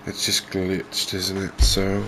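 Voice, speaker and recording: Softly spoken man, low and very even. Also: background noise. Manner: calm, understated, unhurried running commentary. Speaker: gaming video host. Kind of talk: softly spoken ASMR game commentary.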